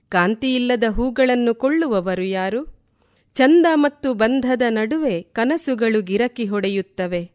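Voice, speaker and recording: A woman reciting poetry in a deliberate way, repeating lines for emphasis. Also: on the high side, darkish tone. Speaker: poet reciting on a radio programme.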